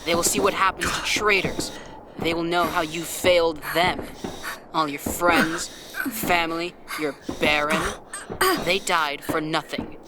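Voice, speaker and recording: A woman's voice: lowish voice, fairly even intonation, a little slow, noisy background, lightly acted. An actor playing a cold, taunting female villain machine ruler in a sci-fi radio drama. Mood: gloating. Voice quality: mocking, taunting. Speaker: Nova Lord villain. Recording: loud music score behind.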